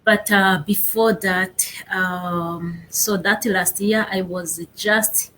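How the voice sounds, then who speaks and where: A young woman with a Rwandan accent, a guest on a casual interview, pausing often and searching for her words. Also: medium pitch, steady tone, fairly bright tone, slight background noise, poor audio. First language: Kinyarwanda. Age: young adult. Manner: halting, searching for words with many fillers.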